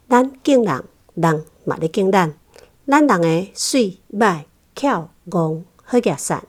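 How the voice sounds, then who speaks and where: A woman's voice: mid-range voice, darkish tone. A woman with a Taiwanese accent reading out a prepared personal reflection on a radio program. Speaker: guest reading her book reflections. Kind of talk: prepared spoken essay, recited slowly.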